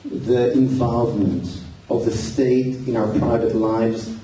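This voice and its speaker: Earnest Israeli man speaking in a booming hall. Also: little pitch movement, slow, mellow. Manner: earnest, repeats words when searching for them. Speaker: party leader speaking to a crowd. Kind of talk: public speech. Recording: echoey hall, heard from far off.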